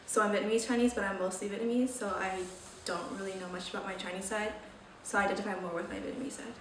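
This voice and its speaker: Quick-talking young woman guest. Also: medium pitch, fairly even intonation, fairly bright tone. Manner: quick, teasing interjections. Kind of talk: casual chat. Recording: echoey room, distant mic, faint noise.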